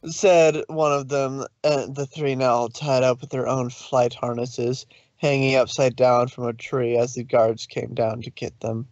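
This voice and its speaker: A man's voice: mid-range voice, little pitch movement, measured pace, a little mellow, no room sound, muffled recording. A man narrating a story aloud in a dramatic reading style.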